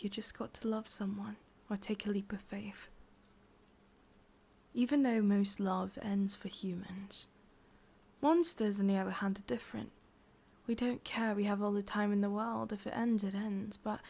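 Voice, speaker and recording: A woman with an English accent voicing an ancient, possessive, wistful witch in a slow, intimate, breathy ASMR whisper. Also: medium pitch, little pitch movement, a little mellow. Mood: resigned, loving. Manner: slow, intimate, musing, with lingering pauses. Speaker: ASMR roleplay performer. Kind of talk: whispered ASMR roleplay monologue.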